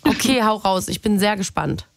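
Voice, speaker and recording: A woman hosting a casual call, upbeat and encouraging, with relaxed colloquial speech. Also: medium pitch, normal speed, gravelly. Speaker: host.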